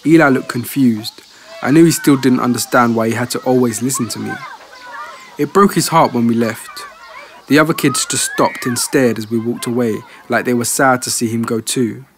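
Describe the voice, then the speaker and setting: A man's voice: mid-range voice, normal speed, a little hiss or hum. A man narrating a scripted first-person horror story. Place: home studio. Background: guitar music.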